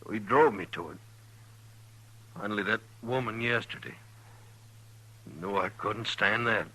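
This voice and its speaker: Wounded frontier gunman, husky, hard-edged tone, halting, resigned, radio drama. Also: lowish voice, sharp tone, exaggerated voice, rough recording. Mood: bitter confession. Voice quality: husky, strained. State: gravely wounded, labored. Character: dying, bitter, resigned western gunman. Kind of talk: old-time radio western acting.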